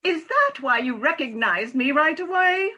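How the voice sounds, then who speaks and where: A woman performs a middle-aged former nurse in a comic play reading. Her voice sits high and bright. She speaks frankly, with wry, pointed teasing and an earnest streak. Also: lively intonation, normal speed, muffled recording.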